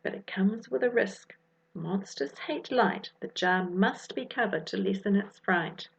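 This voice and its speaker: A woman voicing a confident, bossy young girl detective in a children's story, brisk and take-charge. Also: medium pitch, gravelly, muffled recording. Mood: assured.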